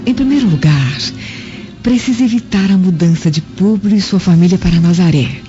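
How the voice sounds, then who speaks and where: A woman's voice: lowish voice, moderate pace, warm tone, lightly acted. An actress playing a scheming, seductive Roman noblewoman in a radio drama, with an insinuating, calculating manner. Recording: muffled lo-fi audio with music.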